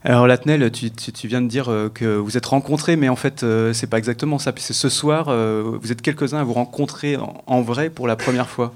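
A man with an average-pitched voice moderates a discussion. He addresses guests informally and without a script, often repeating words as he forms a question. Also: fairly even intonation, fairly quick, few pauses. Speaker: radio show co-host, interviewer. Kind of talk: unscripted interviewing.

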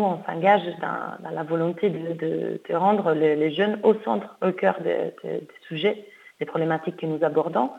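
A woman from Italy, a radio guest answering in a halting way, restarting her sentences often. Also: lowish voice, vocal fry. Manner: hesitant, many restarts and fillers. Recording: dull lo-fi radio sound.

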